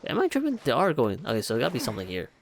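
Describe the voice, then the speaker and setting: Animated young man doing game commentary. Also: mid-range voice, racing, slight background noise. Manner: self-mocking, exclaiming. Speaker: gaming YouTuber. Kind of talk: casual let's play reaction commentary. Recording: faint game music behind. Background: video game music.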